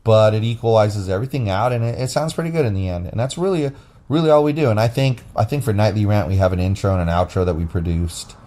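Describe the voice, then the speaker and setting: A man hosting a casual podcast with his wife, talking in a deep, bassy voice and rambling loosely with restarts and fillers. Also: fast, warm tone. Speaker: podcast host. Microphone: phone app recording.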